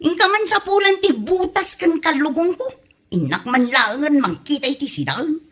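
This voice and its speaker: A woman's voice: high voice, lively intonation, normal speed, a bit bright. A woman playing a hard-up, complaining villager in an Ilocano comedy drama, whiny and exasperated, with an Ilocano accent.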